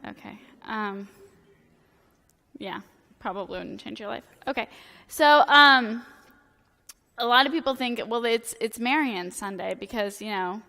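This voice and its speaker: A hoarse woman in her thirties preaches in a chatty, self-deprecating way, full of filler words. Her voice is bright and sits a little high. It rings with the long reverb of a church sanctuary. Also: unhurried. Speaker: priest. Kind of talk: casual, joking sermon.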